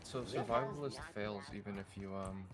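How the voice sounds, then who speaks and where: A man in casual gaming chat as a co-player, hesitant and rambling, heard quietly. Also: on the low side, moderate pace. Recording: quiet, distant voice under loud music.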